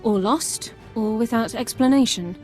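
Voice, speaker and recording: A woman's voice: on the high side, measured pace, darkish tone, faint music behind, close to an everyday voice. An actress playing a by-the-book female sergeant. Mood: formal. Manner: formal, clipped, by-the-book. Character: precise, rule-quoting young female sergeant. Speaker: army sergeant.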